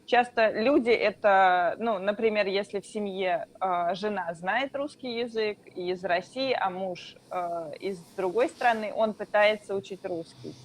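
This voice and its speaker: A woman from Russia, a Russian teacher, explaining things calmly in a casual interview with drawn-out fillers. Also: medium pitch, a little hiss or hum.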